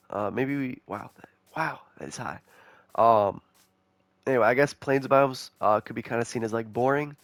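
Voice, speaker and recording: A young man giving casual, rambling commentary over a game, excitable and chatty with viewers, his voice a little nasal from a cold. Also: mid-range voice, gravelly, dry recording. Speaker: gaming YouTuber.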